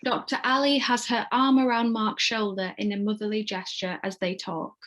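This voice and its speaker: A woman narrates a script, reading out scene headings and action. Her voice sits at a medium pitch, with the plain delivery of scripted narration. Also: flat delivery, moderate pace, fairly bright tone. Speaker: narrator reading stage directions.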